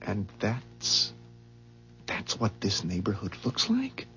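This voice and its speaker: An actor playing a puzzled, skeptical ordinary husband in a 1970s radio drama, questioning in a hesitant, stammering way. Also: male voice, fairly low pitch, wildly swooping pitch, slow pace, sharp tone, soft background music, heavily acted. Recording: muffled 1970s radio broadcast.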